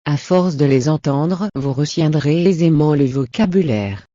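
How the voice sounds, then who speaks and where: A man's voice: on the high side, lively intonation, measured pace, warm tone, dry recording, lo-fi sound. A man presenting a scripted lesson in an encouraging, instructional way. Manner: encouraging, didactic.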